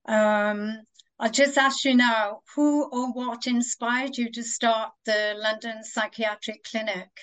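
A woman's voice: a little high, fairly even intonation, takes it slowly, fairly bright tone, smooth, poor audio. A woman hosting an interview, introducing her guest and asking polite, formal questions. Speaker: host.

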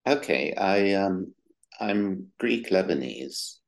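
A man's voice: low-pitched, animated, slow, gravelly. A middle-aged man from Egypt, a psychiatrist answering interview questions with many hesitant ums and uhs. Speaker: psychiatrist guest.

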